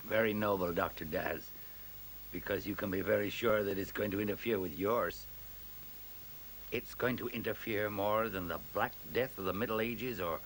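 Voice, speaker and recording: A low-voiced, embittered professor in a 1970s science fiction radio drama. He speaks gravely and rhetorically with a sardonic edge, as a doomsayer would, over a light hiss. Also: a bit bright, poor audio.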